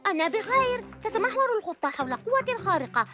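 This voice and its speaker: A woman's voice: very high-pitched, sing-song, fast. A woman voicing a cocky young boy superhero in a cartoon dub. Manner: cocky, bragging. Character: boastful young boy superhero in lizard suit. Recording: cartoon dub with loud music.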